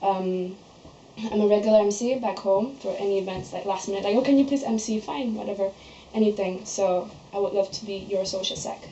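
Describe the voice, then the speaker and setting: A woman's voice: medium pitch, fairly quick, warm tone, slight background noise. A young woman from Malaysia, a student standing for a society post, talking through her nomination speech and answering questions in a chatty way full of fillers. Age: young adult. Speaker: candidate for student society office. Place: student society meeting room. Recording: echoey room, dull lo-fi sound.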